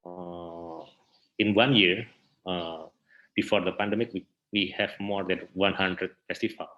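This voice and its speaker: Low-voiced Indonesian man, halting delivery. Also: fairly even intonation, highs cut off. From Indonesia, north coast of Java. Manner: hesitant, halting phrasing with repeated words. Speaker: interview guest, theatre artist and festival director. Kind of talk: informal interview answers.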